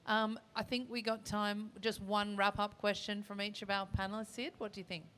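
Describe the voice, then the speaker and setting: A woman's voice: medium pitch, level tone, moderate pace, a bit bright. A woman moderating a live panel discussion in an informal, teasing way, speaking at a conference session. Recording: live event with clear background noise.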